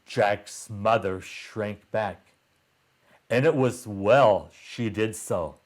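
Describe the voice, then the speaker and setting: Very slow, deliberate male storyteller. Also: medium pitch. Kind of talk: children's storybook read-aloud.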